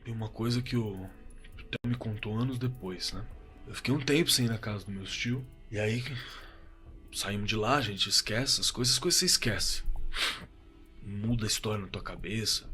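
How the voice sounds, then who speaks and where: A rattled Brazilian survivor in a horror audio drama. His lowish voice is sharp and forceful, and he stumbles and repeats himself in slangy, profane speech. Music plays behind him. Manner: halting, repeats words, colloquial and profane. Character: shaken man recounting a terrifying camping encounter. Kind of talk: first-person horror audio drama testimony. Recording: suspense music under the voice.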